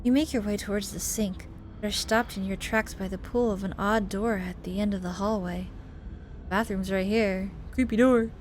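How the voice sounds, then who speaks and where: A woman's voice: medium pitch, fairly even intonation, normal speed, a little hiss or hum. A woman doing let's play commentary, reading a visual novel aloud and reacting expressively. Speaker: gaming video host. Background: game music.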